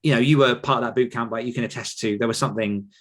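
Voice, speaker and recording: A man's voice: medium pitch, fairly even intonation, rapid-fire, a bit bright. A man speaking as a podcast guest, giving reflective, explanatory answers in a conversational interview style. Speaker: podcast guest, author and writing coach.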